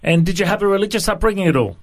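A man hosting a radio interview, asking friendly, steady questions with the odd "uh". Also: sits high, racing. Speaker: radio host.

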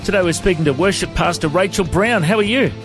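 A man hosting a radio interview, friendly and brisk. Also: high voice, over music. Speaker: radio host.

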